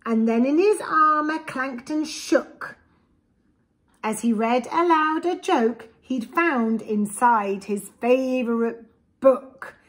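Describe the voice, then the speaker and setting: A woman in her forties with an English accent tells a story to preschoolers. Her voice is on the high side and her pace is very slow. She is expressive and deliberate, as if making sure small listeners follow each part. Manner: expressive, deliberate, with simple explanatory asides. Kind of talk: reading a rhyming picture book aloud to young children. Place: small indoor room with bookshelves. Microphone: camera a short distance away.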